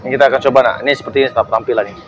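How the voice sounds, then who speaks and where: A chatty man hosts a casual food-tasting interview, joking with his guest and filling the gaps with quick backchannel. His voice sits in an ordinary middle pitch. Clear music plays behind him the whole time. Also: dull audio. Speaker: food show host.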